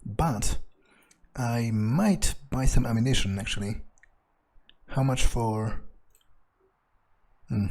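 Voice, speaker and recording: A man's voice: medium pitch, dark tone, slightly rough. A man playing a cynical, pragmatic vampire in a video game, thinking aloud in a musing, hesitant, casually profane way. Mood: musing, deliberating. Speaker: player doing an in-character playthrough. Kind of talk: improvised in-character thinking aloud over gameplay.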